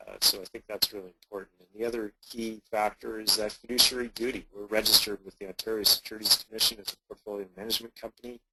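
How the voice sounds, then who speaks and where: A man giving unscripted business remarks as a portfolio manager, measured and pausing between short phrases. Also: fairly low pitch, little pitch movement. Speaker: portfolio manager speaking as guest co-presenter. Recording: very noisy conference line with clicks.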